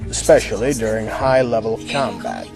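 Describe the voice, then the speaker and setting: A man playing a proud, composed chief of an elite unit in an audio drama, speaking in a self-assured, measured way. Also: lowish voice, vocal fry, music in the background. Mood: assured, explanatory. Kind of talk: amateur audio drama acting.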